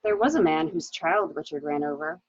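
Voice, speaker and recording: A woman's voice: low voice, moderate pace, dry recording. A woman in a dramatic mystery reading, playing an anxious, hesitant widow, on a dull home recording. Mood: somber, recounting. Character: anxious, hesitant new widow recounting her husband's misdeeds. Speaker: library staff reader.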